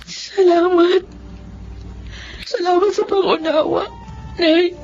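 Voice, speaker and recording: An actress playing an earnest young Filipino daughter and single mother in a radio drama, with a heartfelt, deliberate delivery. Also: very high-pitched, fairly even intonation, darkish tone. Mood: emotional, grateful. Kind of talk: radio drama acting and first-person narration. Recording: dull lo-fi audio with clear music underneath.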